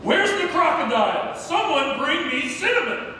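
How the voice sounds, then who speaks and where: A man's voice: extremely high pitch, sing-song, normal speed, bright, forceful tone, exaggerated voice. A man playing an arrogant, tyrannical circus ringmaster with a pompous, bullying manner in a theatrical play read-through. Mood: outraged. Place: community theatre, large indoor room. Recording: noisy echoey hall, far from mic.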